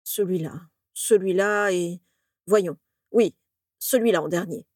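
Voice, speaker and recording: A woman's voice: on the low side, slow pace, a little mellow, dry recording, studio quality. A woman voicing a fearful old male wizard professor in a storybook, stammering and quavering as he talks. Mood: hushed, hesitant. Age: older.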